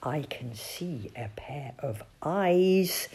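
A woman voicing a suspicious, indignant male rat in a storybook, with a sharp, protesting manner. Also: sits low, animated, extremely slow, slightly rough, full character voice.

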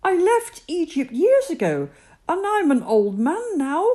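A woman's voice: very high-pitched, big pitch swings, measured pace, warm tone, voice pushed up, full character voice. A woman voicing Moses as a timid, shy old shepherd, anxious and pleading.

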